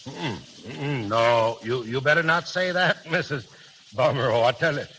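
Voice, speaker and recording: A man's voice: medium pitch, normal speed, bright, forceful tone. A man acting a homespun, bashful middle-aged American husband in a 1930s radio drama, hesitant and folksy. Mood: flustered. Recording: hissy, crackling 1930s radio recording.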